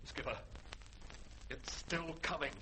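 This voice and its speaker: A man's voice: on the high side, slow pace. A man acting a dutiful spaceship crewman calling out reports in a sci-fi radio drama. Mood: tense, alert. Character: dutiful male crewman reporting radar contacts. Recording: noisy vintage radio drama recording.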